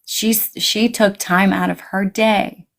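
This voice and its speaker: A woman guest in casual conversation, talking animatedly, swinging her pitch up and quoting her own reactions. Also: steady tone, unhurried, vocal fry.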